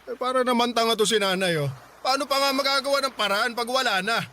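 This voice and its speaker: Radio drama: bitter middle-aged dad played by a man, high sharp voice, defensive and demanding, music under. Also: fast talker, a little hiss or hum. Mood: irritated. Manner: defensive, grumbling. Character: bitter, demanding widowed father, jobless and hard-drinking. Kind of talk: Filipino radio drama acting.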